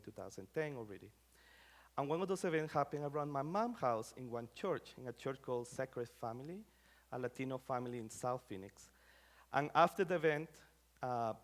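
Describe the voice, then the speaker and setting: A middle-aged man from Rica, giving an academic talk in a conversational way with many fillers and phrasing. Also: medium pitch. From Costa Rica. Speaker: scholar giving a lecture.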